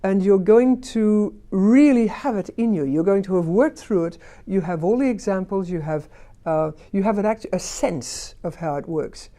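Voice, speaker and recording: Woman, language teacher, mellow tone, emphatic, fond of rhetorical questions. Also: mid-range voice, moderate pace. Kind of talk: conversational discussion.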